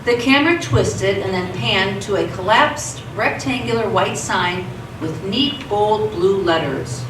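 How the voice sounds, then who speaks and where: A woman's voice: medium pitch, a little slow. A woman reading scripted story narration for a radio theatre production. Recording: echoey stage, distant mic, clear noise.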